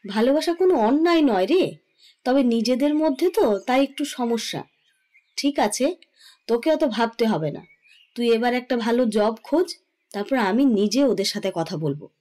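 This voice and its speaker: A woman's voice: a little high, animated, moderate pace, mellow, close to an everyday voice. A woman playing an affectionate, teasing middle-aged mother in an audio story, fondly scolding in a theatrical manner. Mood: fond, reassuring. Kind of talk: Bengali audio story acting.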